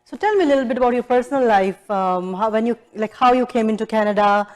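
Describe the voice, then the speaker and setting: A woman's voice: fairly high pitch, fast. A woman hosting an interview, asking casual questions in loose, informal phrasing. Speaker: interview host.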